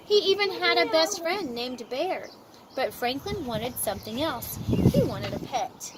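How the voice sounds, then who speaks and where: A woman reading a children's story aloud outdoors in expressive, deliberate phrasing, with chatty asides. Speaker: storybook reader. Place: outdoors at a park. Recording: noisy outdoor amateur recording, ambient rumble.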